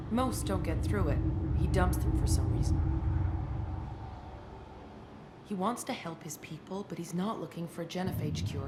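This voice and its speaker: A woman's voice: on the low side, natural voice. A woman playing a wary, self-justifying alien lab researcher in a video game, conversational and wry. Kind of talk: video game character acting. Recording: noisy background ambience.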